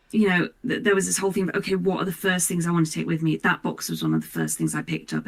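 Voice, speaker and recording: Creaky, lower-voiced woman speaking earnestly. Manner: earnest, emphatic with repeated words. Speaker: voice actor, podcast guest. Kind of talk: casual, heartfelt interview chat.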